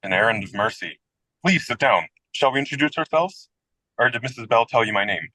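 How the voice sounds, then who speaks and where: A man's voice: medium pitch, animated, a little fast, sharp tone, dry recording, rough recording. A man playing a self-deprecating, whimsical American writer in his twenties in a radio comedy, with a wry, wordy, theatrical delivery. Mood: playful, courteous. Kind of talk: radio play comedy dialogue.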